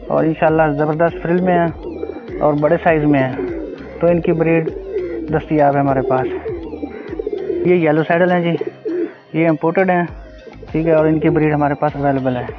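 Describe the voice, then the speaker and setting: A middle-aged man with a Pakistani accent talks informally in a flat, fairly high voice, repeating stock phrases. It sounds thin and phone-like. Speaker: pigeon farmer. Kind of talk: informal showing of livestock. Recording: phone-like thin audio, faint music. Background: faint music, cooing pigeons.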